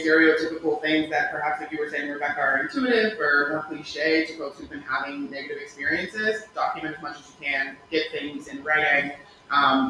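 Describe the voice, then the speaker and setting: Bright-voiced candid woman in an echoey hall. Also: deep voice. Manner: candid, practical, laughs easily. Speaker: panelist. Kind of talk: panel discussion. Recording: echoey room with background noise.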